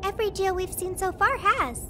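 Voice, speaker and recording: A woman's voice: extremely high pitch, fairly quick, noisy background. A woman voicing an innocent, curious girlish AI companion in an anime game, speaking with naive, precise earnestness. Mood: earnest, curious.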